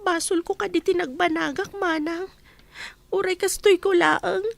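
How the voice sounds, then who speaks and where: A woman's voice: very high voice, fairly even intonation, measured pace, gravelly, no echo, heavily acted. A woman playing a meek, plaintive young orphaned housemaid in an Ilocano radio drama. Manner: plaintive, deferential.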